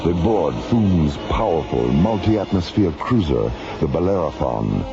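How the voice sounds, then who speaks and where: A man's voice: low voice, sing-song, unhurried, exaggerated voice. A man acting as the solemn narrator of a scripted space adventure radio serial, in a documentary style. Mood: solemn narration. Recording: dull radio recording under loud music.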